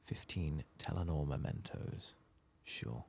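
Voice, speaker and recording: Very deep, gravelly, soft-spoken man. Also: slow pace, slight background noise. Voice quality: soft, often breaking into whisper. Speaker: ASMR gaming host. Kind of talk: soft-spoken and whispered ASMR game playthrough.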